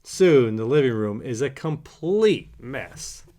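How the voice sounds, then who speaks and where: A man narrating a children's picture book in an animated read-aloud style. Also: medium pitch, slow, noisy background. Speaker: storybook narrator.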